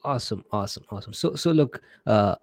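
A man's voice: on the low side, animated, quick pace, no echo, clean studio sound. Chatty man with an Indian accent. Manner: chatty, repeats words, acts out quoted messages. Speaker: podcast host. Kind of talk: casual interview chat.

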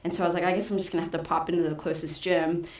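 Soft-spoken low-voiced woman in an echoey room. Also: little pitch movement, rapid-fire. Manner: soft-spoken, storytelling with light laughs. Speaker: fighter, promoter and boxing coach. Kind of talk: casual interview answers.

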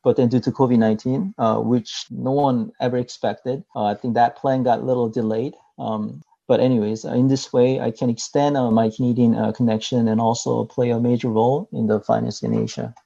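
A man from Korea with a Korean accent, answering interview questions in careful English with many filler pauses. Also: medium pitch, fairly even intonation, vocal fry, dark tone. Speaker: interview guest. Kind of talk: recorded video call interview. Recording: dull muffled audio.

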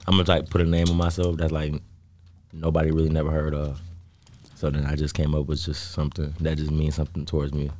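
A man's voice: extremely low pitch, a little mellow, no room sound. A man in his twenties with an American accent, chatting casually as an interview guest in laid-back, slangy phrasing. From Chicago south suburbs, US. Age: 20s. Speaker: interview guest, music artist.

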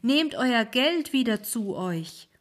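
A woman's voice: medium pitch, animated, a little slow, darkish tone, husky. A woman voicing an alarmed old ferryman. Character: old male ferryman, patient but alarmed.

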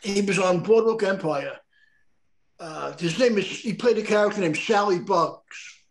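High-voiced rambling male host. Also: unhurried, low-quality recording. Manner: rambling, stumbling restarts. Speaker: podcast host. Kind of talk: casual chat.

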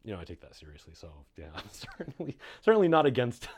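A man with a North American accent speaking as an interview guest in a casual podcast, in a hesitant, halting way with many pauses. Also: medium pitch, huge pitch swings. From suburbs of Boston, United States. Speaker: interview guest, nonprofit development director.

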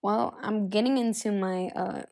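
Young woman, solo podcast host; hoarse, gravelly, husky after illness; chatty, restarts often. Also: mid-range voice, fairly even intonation, a little slow, a little mellow. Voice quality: husky, raspy. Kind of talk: casual solo podcast, reading posts aloud.

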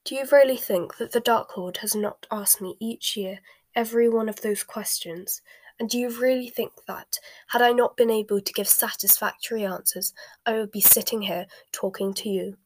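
A woman reading the lines of a cold, sardonic middle-aged male wizard in a hushed, breathy voice. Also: a little high, no room sound, natural voice. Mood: cool, sardonic. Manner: slow, sneering, measured.

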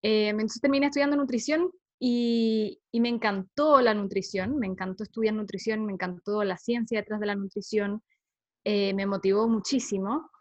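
A woman's voice: mid-range voice, fairly even intonation, moderate pace, a little mellow, highs cut off. A woman in her thirties from Chile with a Chilean accent, a guest researcher chatting in an interview, laughing often and using many fillers. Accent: Chilean Spanish. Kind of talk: casual interview talk.

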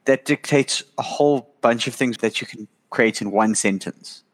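A man gives thoughtful, measured advice at an ordinary middle pitch. He sounds clear, with no marked recording faults. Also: big-room sound. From Pretoria. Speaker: panelist, dungeon master.

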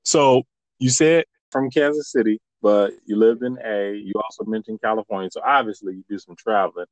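A man hosting a casual interview, relaxed and chatty, with lots of "right, right" and "you know". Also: fairly low pitch, brisk, no room sound, lo-fi sound. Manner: laid-back, lots of fillers and "right, right". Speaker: podcast host.

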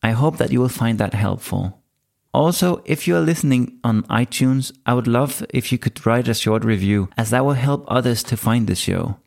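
A man in his forties with a Danish accent, hosting his own podcast and telling his life story in a calm, measured monologue. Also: medium pitch, gravelly. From Denmark. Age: 40s. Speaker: podcast host. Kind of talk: scripted solo podcast monologue.

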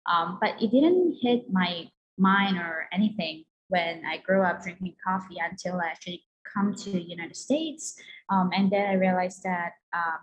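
A woman's voice: on the low side, normal speed, highs cut off. A woman from Malaysia answering interview questions casually as a guest, chatty and often saying "like". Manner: chatty, peppers speech with "like". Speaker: guest, coffee company co-owner. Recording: clear background noise.

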